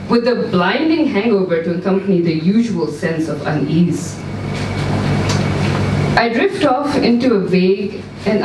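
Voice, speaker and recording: A woman giving a live dramatic reading of a short story, with measured, suspenseful delivery. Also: medium pitch, a little mellow. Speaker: story reader. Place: indoor event room, live reading. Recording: roomy, distant mic, background noise, live venue.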